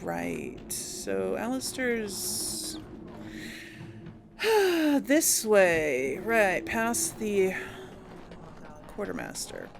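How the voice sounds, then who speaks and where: Creaky, unhurried woman gamer. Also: mid-range voice, huge pitch swings, background noise. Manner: easygoing, musing to herself. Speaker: let's play host. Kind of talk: casual game commentary with light role play. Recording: faint background game ambience.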